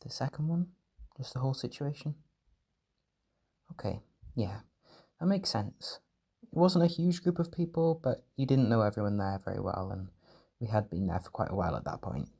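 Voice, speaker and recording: A man performing a gentle, doting caretaker in an intimate comfort roleplay, speaking softly and reassuringly with tender pet names. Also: mid-range voice, expressive, moderate pace, creaky, long pauses, husky. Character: gentle, doting male caretaker soothing an overwhelmed partner.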